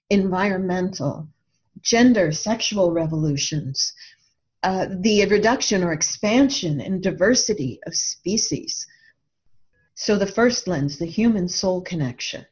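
A woman with a mid-pitched voice talks reflectively, as a guest explaining her own ideas. Her delivery is earnest and deliberate, leaning on repeated words for emphasis. The audio is a little dull and lo-fi. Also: darkish tone. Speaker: author and podcast guest.

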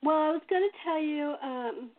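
Higher-pitched woman on a phone-like line. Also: moderate pace. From California. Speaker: radio playwright in a cast chat. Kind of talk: casual chat, telling family anecdotes. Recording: thin phone-like call audio.

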